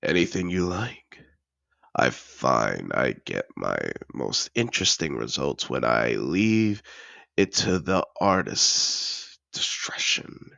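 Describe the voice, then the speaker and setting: Fantasy audio drama: male actor as seductive dragon patron, courtly and possessive, unhurried, close dry sound, deep gravelly murmur. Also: very animated, sharp tone, no room sound, exaggerated voice. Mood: grand, courtly. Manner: velvety, deliberate, courtly. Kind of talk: intimate fantasy audio roleplay, slow dramatic delivery.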